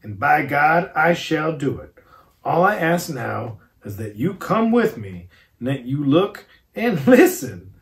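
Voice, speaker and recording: A man's voice: fairly high pitch, big pitch swings, gravelly, heavily acted. Man playing a grave old professor. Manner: slow, formal and earnest pleading. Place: garage.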